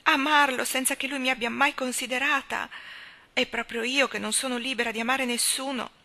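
A woman's voice: medium pitch, steady tone, a little fast, bright, forceful tone. A woman reading a story as an anguished, restless young woman who speaks in vehement, exclaiming bursts. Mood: ashamed, desperate. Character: anguished young woman confessing a hopeless secret love.

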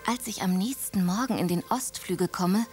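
A woman's voice: medium pitch, normal speed, darkish tone, close to an everyday voice. A woman narrating in first person as a young princess in a radio play, wry and reflective. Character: young princess narrating her own story. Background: violin music.

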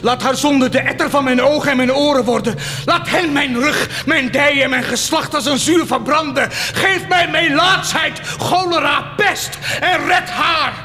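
An actor playing a tormented, fervent man who defies God, in declamatory radio drama style, swinging between hushed and roaring delivery. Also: very high-pitched, measured pace, hardly any pauses, a little hiss or hum, exaggerated voice. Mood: desperate, furious. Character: tormented, fervent man defying God and claiming divine wounds. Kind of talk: theatrical radio drama acting. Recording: cavernous long reverb under loud music.

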